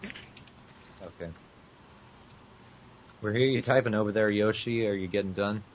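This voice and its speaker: A man's voice: on the low side, a little slow, dull audio, slight background noise. A man hosting an amateur podcast in a casual, bantering way. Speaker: podcast host.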